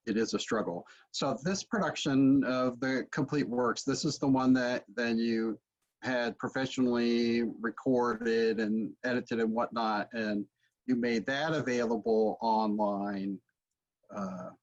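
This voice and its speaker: A man's voice: medium pitch, fairly even intonation, measured pace. A man in his forties casually asking questions as moderator of an online session, over a video call. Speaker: webinar moderator. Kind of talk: casual interviewing. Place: book-lined home study, video call. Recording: dull, lo-fi video-call audio.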